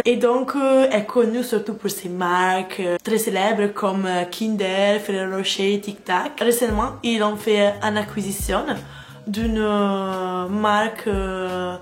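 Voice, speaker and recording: A young woman in her twenties from Italy, speaking with an Italian accent and chatting casually as a vlogger in a language that is not her first, with many fillers. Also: mid-range voice. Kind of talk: casual vlog chat.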